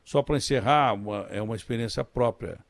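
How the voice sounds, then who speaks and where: A man's voice: mid-range voice, a little fast, creaky, no room sound. A man with a Brazilian Portuguese accent hosting a radio interview, speaking in a conversational, emphatic way. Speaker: radio host.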